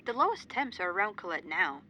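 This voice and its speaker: A woman's voice: fairly low pitch, big pitch swings, a little fast, very noisy. A woman playing a young, technical paranormal investigator in an audio roleplay, speaking in a businesslike, matter-of-fact way. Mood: focused.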